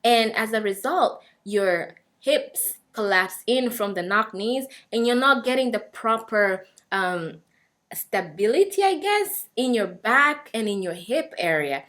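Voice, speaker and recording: A woman's voice: a little high, animated, a little slow, fairly bright tone. A young woman whose first language is Swahili talks casually and earnestly to the camera, encouraging listeners, with many fillers and restarts. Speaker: fitness vlogger.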